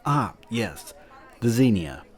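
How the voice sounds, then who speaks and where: Male actor as patient scholar type, deep register, measured teaching delivery, audio drama. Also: sing-song, some chatter behind. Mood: patient, instructive. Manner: didactic, measured explaining. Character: learned man patiently explaining old myths and customs.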